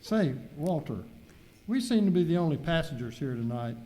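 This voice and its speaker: Man acting higher, mellow-voiced radio skit fellow. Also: big pitch swings, normal speed, poor audio. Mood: puzzled. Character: puzzled male cruise passenger and radio show narrator.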